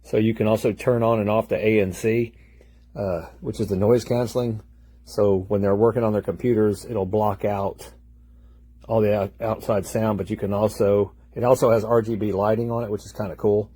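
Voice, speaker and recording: A man's voice: lowish voice, level tone, normal speed, warm tone, breathy edge, no echo. A man giving a casual, plain-spoken product demonstration. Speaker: product reviewer.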